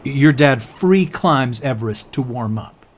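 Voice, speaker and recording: An actor playing a skeptical, exasperated everyman in an audio story, halting and stammering in disbelief. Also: medium pitch, animated, a little hiss or hum, natural voice. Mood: skeptical, baffled. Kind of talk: audio fiction character acting.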